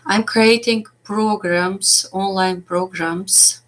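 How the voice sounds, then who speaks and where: Slow, hesitant Moldovan-accented woman. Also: medium pitch, fairly even intonation, rough recording. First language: Romanian and Russian. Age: 40s. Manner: hesitant, many fillers and restarts. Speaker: business coach. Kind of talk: speaking to camera, unscripted.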